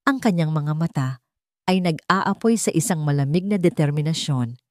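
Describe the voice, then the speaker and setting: A woman's voice: low-pitched, normal speed, no echo, studio quality. A woman narrating a scripted drama story in a theatrical storytelling style. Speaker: audiobook narrator.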